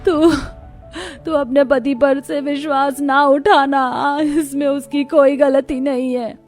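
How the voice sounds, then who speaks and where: A woman playing a distraught older mother-in-law in an animated melodrama. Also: high-pitched, slow, faint music behind. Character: distraught old mother-in-law lamenting ruin. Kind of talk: animated Hindi family story acting.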